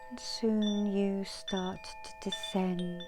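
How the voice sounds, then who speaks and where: Very slow, hushed woman guiding a meditation. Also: mid-range voice, level tone, over music. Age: middle-aged. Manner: slow, hushed, soothing, often dropping into whispers. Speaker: guided meditation host, Reiki healer. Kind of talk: ASMR guided meditation storytelling. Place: indoors in a small room. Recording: clear background noise and ambience.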